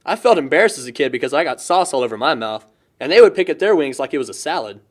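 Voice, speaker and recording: A young male actor as a chatty, lovestruck young guy with a lively, swinging, fairly high voice. He is lighthearted and joking, rambling through casual audio drama dialogue. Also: fast talker. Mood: chatty, amused. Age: young adult.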